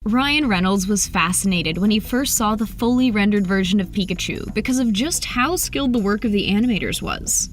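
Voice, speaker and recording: A woman's voice: mid-range voice, lively intonation, normal speed, few pauses, slight background noise. A woman narrating an entertainment video from a script. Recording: music under the voice. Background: background music.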